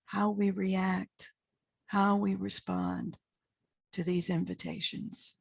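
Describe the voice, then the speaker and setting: Female meditation guide: very slow, measured delivery with long pauses, heavy vocal fry. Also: level tone, no room sound. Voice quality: husky, gravelly low voice. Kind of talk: guided meditation, unscripted spiritual talk.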